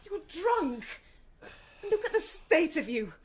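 Shrill, agitated mother. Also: very animated, slow, exaggerated voice. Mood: shocked, scolding. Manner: agitated, indignant. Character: anxious, scolding Victorian mother.

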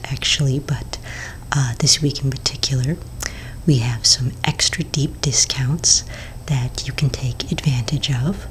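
A middle-aged woman whispering softly as a potion shopkeeper in an ASMR roleplay, speaking slowly with many gentle fillers, close to the mic in a small room. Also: extremely low pitch, slight background noise, rough recording. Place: small indoor room before shelves of bottles.

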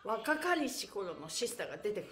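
A woman's voice: mid-range voice, big pitch swings, brisk, roomy sound, faint music behind. A middle-aged woman hosting a chatty live exercise class, bubbly and playful as she addresses her viewers. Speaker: pantomime teacher and livestream host. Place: indoor room with bare walls and exercise mat.

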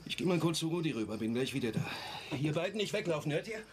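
Stern, halting father. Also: on the high side, very animated, noisy background. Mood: agitated, stern. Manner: halting, probing. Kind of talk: German audio drama acting.

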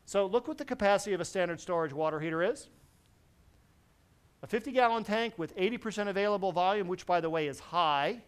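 A middle-aged man giving a technical lecture to a seated audience in a large conference hall, lively and constantly checking in with the listeners. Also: high-pitched, a little fast, clear, resonant tone. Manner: lively, quizzes the audience with frequent "right?". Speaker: presenter at a technical symposium.

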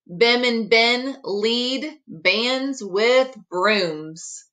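A middle-aged woman teacher reading a rhyming picture book aloud expressively, articulating tongue twisters with deliberate care. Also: on the high side, lively intonation, fairly bright tone. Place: small room at home, indoors.

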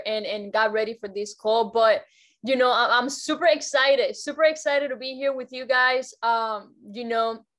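Young woman, from Cuba, guest educator, upbeat, restarts a lot, slightly high voice, video call from home. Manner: upbeat, repeats words and restarts often. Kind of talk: casual motivational talk. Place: bedroom at home.

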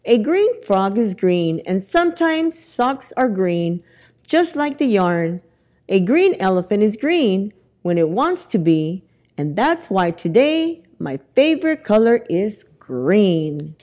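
Slow, lively woman reading a picture book. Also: mid-range voice. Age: middle-aged. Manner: expressive, deliberate reading to young children. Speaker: library storytime reader. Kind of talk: children's picture book read-aloud. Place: indoors in a library, among bookshelves. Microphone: lapel mic.

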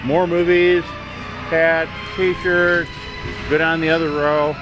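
Man hosting a camcorder flea-market walk, dry offhand asides, marching music heard clearly underneath. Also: a little high, flat delivery, takes it slowly, lo-fi sound. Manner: wry, offhand, thinking aloud. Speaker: video host walking a flea market. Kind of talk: casual handheld tour commentary. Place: outdoor flea market, parking lot. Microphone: handheld camera mic. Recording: music playing behind. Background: band music playing.

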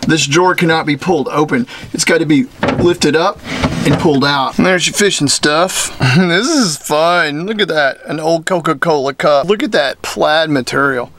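A man's voice: fairly high pitch, moderate pace, a bit bright, a little hiss or hum. A man talks like a vlogger showing someone around. He is enthusiastic and folksy, commenting off the cuff with plenty of slang, recorded on a handheld camera in a small interior. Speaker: vlogger giving a vehicle walkthrough. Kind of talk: casual, off-the-cuff tour commentary. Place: mostly inside a small cluttered motorhome.

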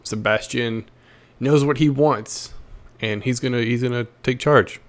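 A male gaming host with a medium-pitched voice. He comments casually on what he is playing, thinking aloud in an easygoing way. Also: unhurried.

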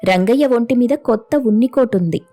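A female storyteller narrates a scripted moral tale. Her voice is mellow and a touch high, with light music playing underneath. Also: moderate pace.

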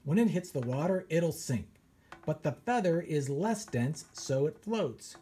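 A man, a children's author, reads a science picture book aloud with animated storytelling and pirate flair. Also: a little high, measured pace, breathy edge. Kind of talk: expressive read-aloud.

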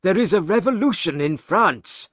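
A man voicing a banker who gravely delivers alarming news in measured, emphatic sentences, in an audiobook. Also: medium pitch, lively intonation, fairly bright tone, dry recording, clean studio sound. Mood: grave, urgent.

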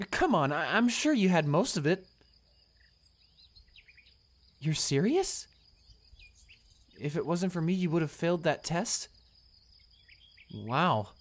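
A young man acting a shy, anxious, bullied high school student in an audio roleplay, stammering and hesitant. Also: heavily acted. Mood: amazed, excited. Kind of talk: romantic audio roleplay, one-sided dialogue.

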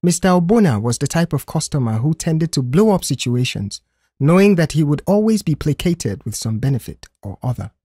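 A man's voice: a little high, very animated, fairly quick, dark tone, slightly rough, no echo, clean studio sound. A man narrating a scripted audio story.

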